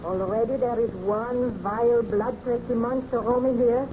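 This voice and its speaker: An actress playing a baron's anxious, devoted wife, fretful and earnest in theatrical old-time radio style. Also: female voice, a little high, fairly even intonation, moderate pace, lightly acted. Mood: alarmed. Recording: noisy, muffled old radio recording.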